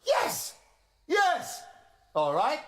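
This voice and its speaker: An actor playing an embattled, blustering college boss in a radio play. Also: male voice, extremely high pitch, huge pitch swings, very slow, raised voice, background noise, heavily acted. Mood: panicked shouting.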